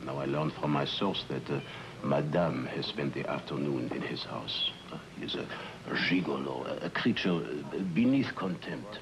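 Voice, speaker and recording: Grave, deferential informant: extremely low gravelly voice, formal halting delivery, vintage mystery drama. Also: male voice, lively intonation, noisy background. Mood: grave, regretful. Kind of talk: old-time mystery drama acting.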